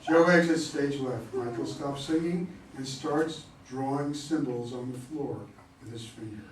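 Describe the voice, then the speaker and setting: A man's voice: medium pitch, unhurried. A man reading stage directions as scripted narration for a play reading. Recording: very echoey, distant, faint noise.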